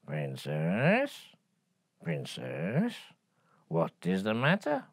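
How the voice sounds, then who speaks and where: Creaky male frog, pleading, storybook voice, woman putting it on, slow, dry close sound. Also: a bass voice, wildly swooping pitch, no echo, full character voice. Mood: coaxing.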